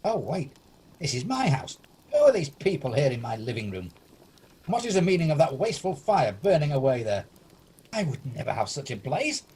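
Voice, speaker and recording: A man's voice: medium pitch, big pitch swings, normal speed, a little mellow, breathy edge. A man playing an irascible, fearful older miser in an amateur radio play, blustering and indignant. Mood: bewildered, fearful. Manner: indignant, blustering, stammers when agitated. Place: at home, recorded over video call. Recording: faint noise, home video-call recording.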